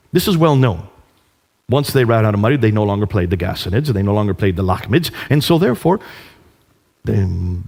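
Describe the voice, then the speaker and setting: A man's voice: medium pitch, slightly rough. A man giving a public lecture off the cuff in an emphatic manner, repeating phrases for stress and posing rhetorical questions. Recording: hall with long reverb.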